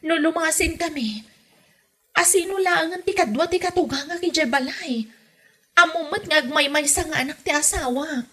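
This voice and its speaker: Lady acting fed up young wife, northern philippine accent, high sharp voice, complains big like radio comedy drama. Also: normal speed. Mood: resigned. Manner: complaining, melodramatic. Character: exasperated young wife fed up with her meddling mother-in-law.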